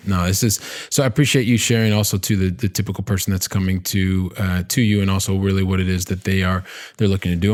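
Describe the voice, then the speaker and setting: A man hosting a podcast in a conversational interview style, with wordy, halting phrasing and catchphrases. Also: deep voice, darkish tone. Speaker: podcast host.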